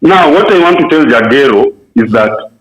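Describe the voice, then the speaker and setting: Kenyan dude on speakerphone, echoey, argues fast then trails into uhs. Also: male voice, mid-range voice, lively intonation. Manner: argumentative, rapid bursts, frequent fillers. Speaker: radio caller. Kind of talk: call-in opinion.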